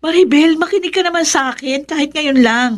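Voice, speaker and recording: An actress playing a self-righteous, bossy elder sister in a Filipino radio drama, nagging and insistent with drawn-out emphasis. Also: female voice, high voice, background noise, close to an everyday voice.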